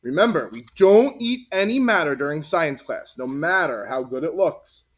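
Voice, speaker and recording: An encouraging storybook science teacher, played by a man, emphatic and instructive, with raised, lively pitch. The sound is a little dull and lo-fi. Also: normal speed. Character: encouraging male science teacher explaining big ideas. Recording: dull, lo-fi recording.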